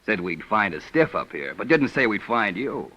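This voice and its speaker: An actor playing a wry, sardonic small-town police captain who needles a suspect, in a vintage radio detective drama. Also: male voice, on the low side, sing-song, normal speed, bright, forceful tone, heavily acted. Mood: smug, firm. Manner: drawling, sardonic, calls the suspect son. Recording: dull vintage radio recording.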